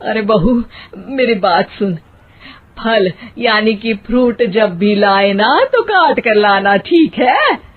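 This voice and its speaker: A woman's voice: mid-range voice, expressive, slow pace, husky. Woman playing exasperated scolding mother-in-law, tinny sound. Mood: nagging. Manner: nagging, dramatic complaining. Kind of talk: Hindi moral-story cartoon dialogue. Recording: muffled, narrow phone-like sound.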